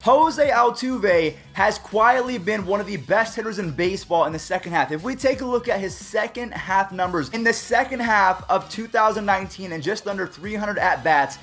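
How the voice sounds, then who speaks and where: High-voiced, slightly raspy young man. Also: animated, moderate pace, few pauses, a bit bright. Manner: chatty, upbeat, jokey. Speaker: YouTube baseball recap host. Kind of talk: casual sports recap talk to camera.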